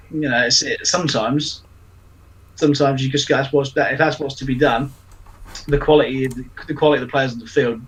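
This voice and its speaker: Man, podcast co-host, blunt, halting restarts, mid pitch, faint background noise. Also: fairly bright tone, low-quality recording. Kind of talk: casual sports podcast chat.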